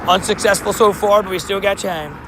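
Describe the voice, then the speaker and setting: A man live-streaming outdoors, chatting casually with viewers and answering their chat messages, with a slightly hoarse voice. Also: high voice, steady tone, a little fast, noisy background. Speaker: livestream host. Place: outdoors by a street with traffic.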